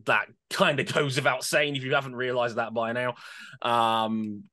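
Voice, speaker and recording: A man's voice: medium pitch, level tone, normal speed, pushed and bright, no echo, studio quality. A young man hosting a podcast over a video call from home, chatty, upbeat and joking. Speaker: podcast host.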